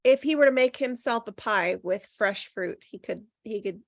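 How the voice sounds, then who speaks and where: A woman with a medium-pitched voice joins a casual group chat. She sounds enthusiastic and informal, recorded dry with hardly any echo. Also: normal speed.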